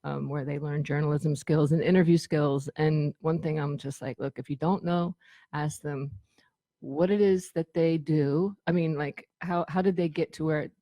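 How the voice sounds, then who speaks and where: A woman's voice: sits low, fairly even intonation, moderate pace, mellow, no room sound. An American woman in her fifties chatting casually and a bit ramblingly as an interview guest. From Trenton, New Jersey, United States. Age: 50s. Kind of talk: casual chat.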